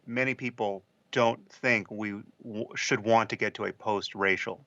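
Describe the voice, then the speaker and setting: A man in a podcast conversation, speaking in a measured, careful way with frequent restarts. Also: fairly low pitch, steady tone, fairly bright tone, no echo. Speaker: podcast discussion participant. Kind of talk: thoughtful conversation. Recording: lo-fi replayed podcast clip.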